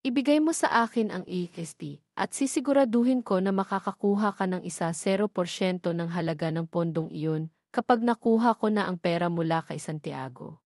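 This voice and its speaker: A woman's voice: lowish voice, fairly quick, darkish tone, dry recording, studio quality, close to an everyday voice. A woman voicing a cold, commanding schemer who gives terse, imperious orders in an audio drama. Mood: calculating.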